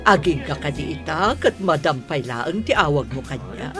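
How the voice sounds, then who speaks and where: A woman's voice: low-pitched, very animated, a little slow, music in the background. A woman playing a gracious, sentimental older mother-in-law in a radio drama. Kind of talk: Ilocano radio drama acting.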